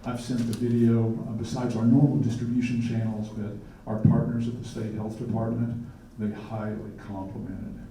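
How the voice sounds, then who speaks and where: A man's voice: mid-range voice, little pitch movement, normal speed, few pauses, mellow. A man, a public health official, giving a presentation and often stumbling into repeated words. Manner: many restarts and repeated words. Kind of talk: presentation at a community forum. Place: lecture hall. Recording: echoey hall, mic far off, faint noise.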